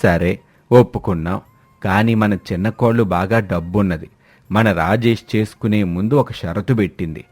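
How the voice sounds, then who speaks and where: Man playing a grumbling low-pitched father-in-law. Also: normal speed, a little mellow, natural voice. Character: exasperated father-in-law, grumbling head of the household. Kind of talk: animated moral-story voice acting.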